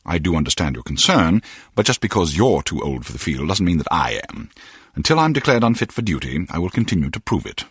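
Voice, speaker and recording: A veteran secret agent for a spy game, an older mentor with a very low, husky voice. He is wry and dry-witted, with an expressive swing in his delivery. The sound is close and dry. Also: brisk, no echo, exaggerated voice. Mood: wry, teasing. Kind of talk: video game voice acting.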